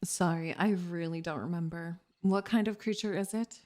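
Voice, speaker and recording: A woman's voice: fairly low pitch, fairly even intonation, moderate pace, darkish tone, natural voice. A woman playing a sceptical, grumpy young elf in an ASMR roleplay, speaking in a dry, reluctant, easily flustered manner. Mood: puzzled. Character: sceptical, grumpy, secretly intrigued young female elf forest warden.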